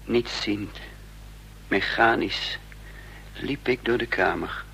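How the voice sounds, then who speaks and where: An actor playing a tense young man in hiding, speaking and narrating haltingly in a Dutch radio drama. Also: fairly low pitch, vocal fry, sharp tone, exaggerated voice. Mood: brooding, dramatic. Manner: halting, deliberate. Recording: noisy, humming old radio recording.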